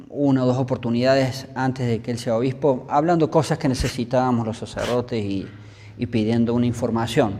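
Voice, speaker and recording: A man in his fifties with an Argentine accent, telling his story in a measured, pausing way as a priest being interviewed. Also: medium pitch, mellow. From Córdoba, Argentina. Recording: long reverberant hall.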